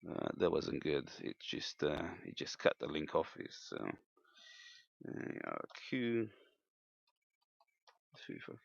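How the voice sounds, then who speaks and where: A man giving an unscripted hands-on equipment demonstration as a hobbyist, thinking aloud in a hesitant, halting way. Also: rumbling low, sing-song, creaky. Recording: dull, lo-fi, echoey room sound.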